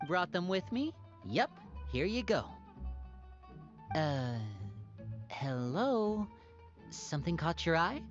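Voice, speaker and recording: An actor playing a polite, hospitable young man with a courteous, gently teasing manner in a video game. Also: high voice, big pitch swings, slow, pauses often, full character voice. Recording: dull game audio with faint music.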